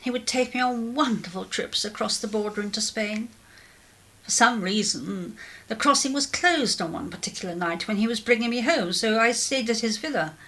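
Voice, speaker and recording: A woman's voice: a little high, moderate pace, sharp tone, poor audio. An actress playing a genteel, regretful middle-aged clergyman's wife in a 1930s radio drama, speaking in a formal, hesitant way. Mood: confessional, subdued.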